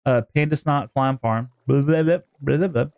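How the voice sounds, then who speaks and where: A Texan man talking to his chat on a live gaming stream, rambling from one thought to the next with easy jokes. His voice sits at a middle pitch, and the sound is close and dry with no room echo. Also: moderate pace, creaky, a little mellow. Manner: rambling, jokey, talks to chat.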